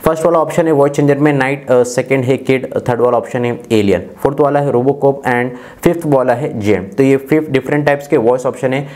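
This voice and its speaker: A man's voice: medium pitch, brisk, hardly any pauses, darkish tone. Young Indian man explaining step by step. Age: 20s. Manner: explanatory, step-by-step, mixing in English tech terms. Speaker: tech reviewer and channel host. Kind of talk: scripted-sounding phone feature walkthrough.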